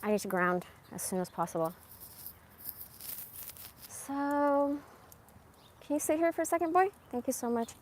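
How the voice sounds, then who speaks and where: A woman's voice: high voice, big pitch swings, a little slow, pauses often, a little hiss or hum. A woman in her forties rambling casually about personal things in a candid, emphatic, slangy way. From born in Iran, moved to America as a young child. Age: 40s. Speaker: live streamer.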